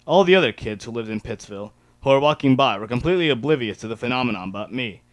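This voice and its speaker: A man's voice: mid-range voice, animated, fast, no echo. A man reading first-person fan fiction aloud as an amateur dramatic narrator.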